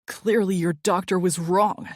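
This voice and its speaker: Cold angry young guy, clipped and seething, soft husky tone, read by a woman. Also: lowish voice, expressive, a little slow, no echo, clean studio sound, full character voice.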